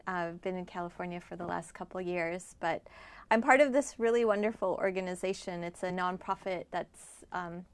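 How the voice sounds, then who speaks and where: A woman's voice: on the low side, normal speed. A middle-aged woman from Iowa, born in Afghanistan, explaining her work conversationally as an interview guest. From born in Afghanistan, grew up in rural Iowa. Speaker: interview guest, doctor and nonprofit member.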